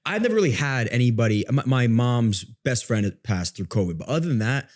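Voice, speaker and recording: A man's voice: mid-range voice, expressive, fairly quick. A man co-hosting a podcast, chatting casually and asking questions in blunt, slangy talk. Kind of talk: casual chat.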